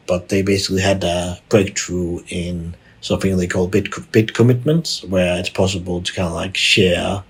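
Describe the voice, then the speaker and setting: A man from Denmark with a very low voice and a strongly husky, rough texture. He talks as a podcast guest in an unscripted, conversational way. He stumbles through frequent restarts and repeated words. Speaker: podcast guest, tech executive. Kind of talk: conversational interview answers.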